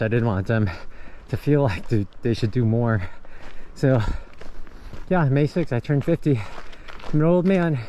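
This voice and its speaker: A man's voice: mid-range voice, dark tone, slight background noise. A man of fifty, a tired long-distance hiker, chatting casually on a forest trail into his camera and laughing often. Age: 50s. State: tired from hiking. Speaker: thru-hiker vlogger. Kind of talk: casual trail vlog narration.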